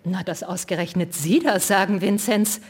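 A woman voicing an easily offended widow who turns sharp and tearful when insulted. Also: medium pitch, normal speed, breathy edge, lightly acted. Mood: indignant. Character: indignant elderly widow, touchy and tearful.

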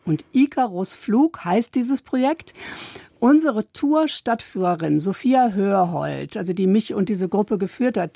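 A woman's voice: medium pitch, gravelly, warm tone, breathy edge, dry recording, studio quality. A woman working as a culture reporter, explaining things in a conversational radio report.

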